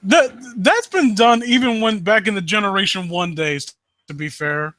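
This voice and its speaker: Blunt man with a higher-pitched voice. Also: moderate pace, bright, forceful tone, no room sound. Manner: blunt, profane. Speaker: host of a live critique stream. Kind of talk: casual chat.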